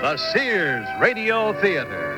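A man's voice: high voice, huge pitch swings, slow pace, loud music behind the voice. A man making polished, emphatic scripted announcements as a radio programme announcer.

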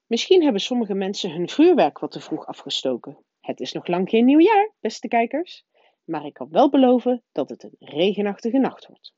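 A man's voice: high voice, wildly swooping pitch, moderate pace, warm tone, no echo, muffled recording, pitched above the performer's own voice, heavily acted. A woman voicing an upbeat male television weatherman addressing viewers, in a storybook reading. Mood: chirpy.

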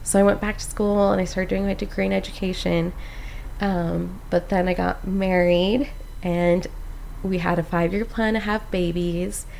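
A woman's voice: on the low side, normal speed, vocal fry, slight background noise, low-quality recording. A young woman from Costa Rica, a postpartum doula, telling her story in a casual chat, full of "like" and "um". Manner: animated storyteller, many "like"s and "um"s.

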